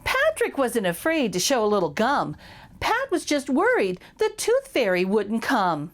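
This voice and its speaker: A woman's voice: fairly high pitch, huge pitch swings, a little slow, soft background music. A woman reading a picture book aloud to children as a storytime host, exclamatory and asking her young listeners questions.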